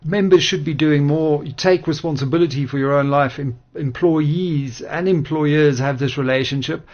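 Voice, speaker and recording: A man hosting a radio interview, asking measured, articulate questions in a creaky voice. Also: fairly high pitch, a little mellow. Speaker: radio host. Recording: dull lo-fi radio audio.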